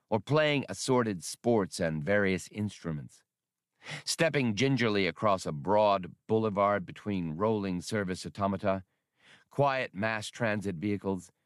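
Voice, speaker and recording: Guy narrating a novel, really deep voice, super dry sound, no room on it. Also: no echo. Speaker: audiobook narrator. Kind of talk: scripted fiction narration.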